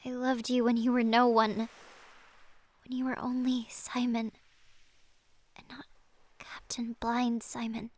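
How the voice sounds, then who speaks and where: A tender, otherworldly lost love acted by a woman, speaking softly and breathily. Her slightly high voice stays even and soothing, slipping toward whispers, in audio drama style. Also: measured pace, long pauses. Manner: soft, breathy, often near whisper. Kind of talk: dramatic audio roleplay acting.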